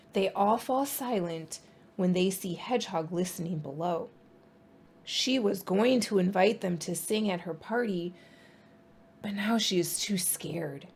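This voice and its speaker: A woman reading a children's storybook aloud in an expressive, animated and deliberate storytime style. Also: on the low side, slightly rough. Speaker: storybook reader.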